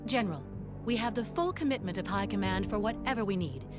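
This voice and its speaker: A woman's voice: medium pitch, expressive, quick pace, lightly acted. A woman playing a bold, confident military liaison officer in a video game, assertive and direct. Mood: urging. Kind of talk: video game briefing dialogue. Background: game music.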